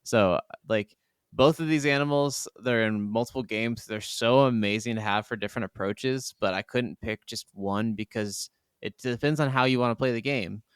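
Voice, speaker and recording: A man's voice: medium pitch, moderate pace, clear, resonant tone, no room sound. A man chatting casually and emphatically about video games as a podcast co-host, swearing freely. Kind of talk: casual gaming chat.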